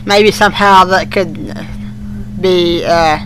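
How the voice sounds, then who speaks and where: A man's voice: sits high, fairly even intonation, slow pace, background noise. A male co-host chatting casually on a fan podcast, in an amateur recording.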